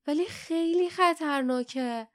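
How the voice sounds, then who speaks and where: Female reader as hot-headed boy hero, earnest, caring, emphatic, high clear voice, dry recording. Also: a bit bright, no echo. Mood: gentle, concerned.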